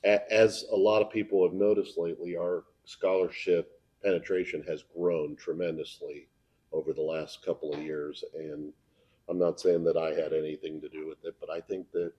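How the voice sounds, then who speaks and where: An American man, a local broadcaster, talking informally and answering questions in a plainspoken, halting way. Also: low-pitched, little pitch movement, slightly rough, lo-fi sound. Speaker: local sports broadcaster and video producer.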